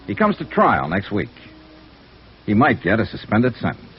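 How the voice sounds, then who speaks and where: An actor playing a worldly, soft-hearted insurance investigator in clipped, wry 1950s radio noir style. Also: male voice, on the low side, wildly swooping pitch, normal speed, slightly rough, exaggerated voice. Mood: brisk, clipped. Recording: dull vintage radio recording, faint music.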